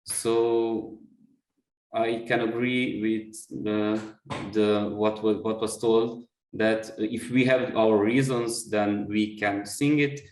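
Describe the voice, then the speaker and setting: A man's voice: on the low side, fairly even intonation, takes it slowly, a little mellow. Hungarian-accented man, echoey and distant. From Romania. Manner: thoughtful, restarts and self-corrections. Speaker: workshop participant. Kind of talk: reporting back in an online seminar. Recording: echoey room, far from the mic.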